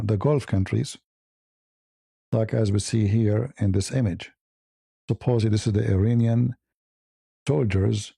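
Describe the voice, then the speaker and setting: A middle-aged man talks over images in blunt, mocking live commentary, often restarting his sentences. His voice is dark, gravelly and a little husky, recorded close and dry with no room sound. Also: lowish voice, no echo.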